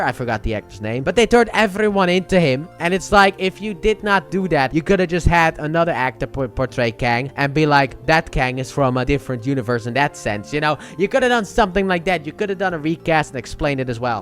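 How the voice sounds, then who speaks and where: A man's voice: on the high side, a bit bright. A man giving a casual, conversational movie review with emphatic, opinionated delivery. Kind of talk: casual video review commentary. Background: music under the voice.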